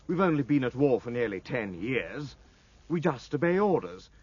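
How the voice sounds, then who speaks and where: An actor playing a sardonic, world-weary British naval lieutenant with a husky voice in a 1950s radio drama. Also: male voice, mid-range voice, big pitch swings, moderate pace, darkish tone, dry recording. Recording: dull old radio recording.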